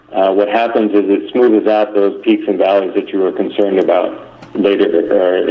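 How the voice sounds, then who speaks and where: Man, slightly low voice, methodical technical explanation, conference call, muffled lo-fi audio. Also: slight background noise. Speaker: engineering presenter. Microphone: conference call line. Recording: dull lo-fi conference phone call.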